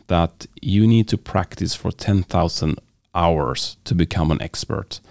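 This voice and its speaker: A man's voice: low-pitched, creaky, dark tone, no room sound, clean studio sound. A man with a Swedish accent, hosting a podcast and talking casually about books, hesitant with many fillers and restarts. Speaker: podcast host. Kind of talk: casual book talk.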